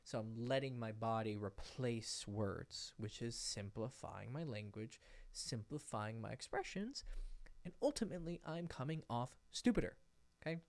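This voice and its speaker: A man giving casual dating advice, talking loosely and animatedly and acting out bits of example conversation, with a voice he calls fairly high-pitched. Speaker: dating coach. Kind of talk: casual advice monologue to camera. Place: indoors, sitting in a rocking chair.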